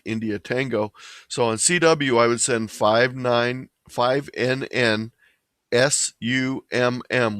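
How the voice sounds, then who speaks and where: Male webinar presenter, mid-pitched, informal and explanatory, very little room sound. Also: a bit bright, no echo. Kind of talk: informal instructional webinar talk. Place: indoors, online webinar.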